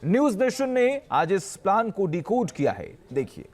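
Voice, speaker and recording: A man's voice: high-pitched, sing-song, moderate pace, darkish tone. A man hosting a news show, reading scripted narration in an emphatic, sensational, dramatic way. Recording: music behind the voice. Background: dramatic background music.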